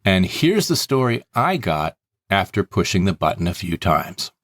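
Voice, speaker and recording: A man's voice: low-pitched, big pitch swings, normal speed. A man hosting a podcast, talking conversationally and explaining things plainly, step by step. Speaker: podcast host and story producer.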